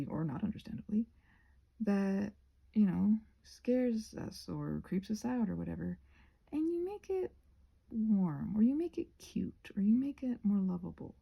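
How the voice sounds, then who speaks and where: A woman chatting in a rambling, halting ASMR style, soft-spoken and often slipping into whispers. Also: medium pitch, creaky, husky, muffled recording. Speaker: ASMR creator rambling while painting a craft project. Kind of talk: ASMR rambling casual chat.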